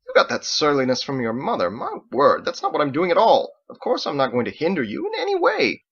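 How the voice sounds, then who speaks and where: An elderly storybook father, acted out by a male reader, effusive and pious. He wheedles and exclaims in a pitch that lifts above the reader's own, with a creaky edge, on a slightly dull recording. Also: huge pitch swings, a little fast, a bit bright, heavily acted. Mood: indignant. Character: charming, fretful elderly father and tavern keeper, pious.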